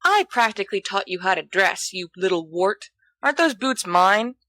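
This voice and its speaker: A woman reading a storybook as a bossy, sharp-tongued young woman with a booming, mocking manner. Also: lively intonation, normal speed, dry recording, clean studio sound. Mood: scornful.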